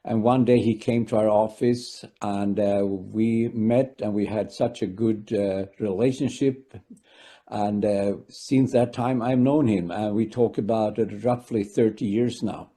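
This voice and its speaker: A man, founder of an aid organization, giving a calm interview testimony with fillers. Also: fairly low pitch, a little slow.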